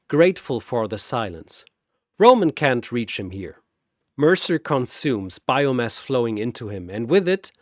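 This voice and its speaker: A man narrating a scripted story in a measured, dramatic way. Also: mid-range voice, no room sound, studio quality.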